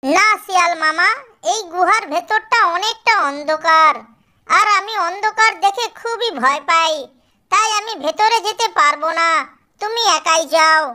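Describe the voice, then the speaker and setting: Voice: very high-pitched, measured pace, bright, forceful tone, pitched above the performer's own voice, heavily acted. A performer voicing a small, clever cartoon tortoise who coaxes and teases while feigning meekness. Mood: feigning fear. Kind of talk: children's cartoon character voice.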